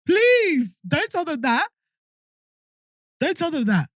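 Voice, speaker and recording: A woman's voice: high voice, big pitch swings, slow pace, no room sound, clean studio sound. A young woman from the UK, co-hosting a podcast in teasing, slangy casual chat. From United Kingdom. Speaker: podcast co-host.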